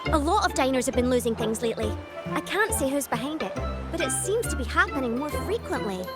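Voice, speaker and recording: A woman's voice: sits high, big pitch swings, brisk. An actress playing a young townswoman in a video game, light and chatty. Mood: helpful. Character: young female restaurant server or townsfolk. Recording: loud music behind the voice.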